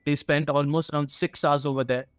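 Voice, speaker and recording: A man's voice: on the high side, little pitch movement, fairly quick, clean tone, dry recording. Indian man telling stories, dry close sound. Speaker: storyteller on a radio horror show. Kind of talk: casual conversational storytelling.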